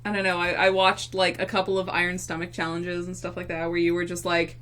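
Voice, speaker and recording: A woman's voice: on the low side, completely flat delivery, fast talker, fairly bright tone. Easygoing young woman co-hosting. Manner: easygoing, short agreeing replies. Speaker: co-host. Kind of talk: casual chat.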